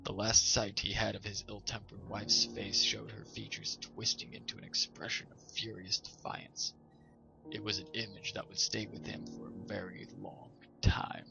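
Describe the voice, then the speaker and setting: A man's voice: fairly low pitch, moderate pace, gravelly. A man hosting a story reading, narrating in a storyteller's style. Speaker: host and story reader. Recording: slightly dull sound, music behind.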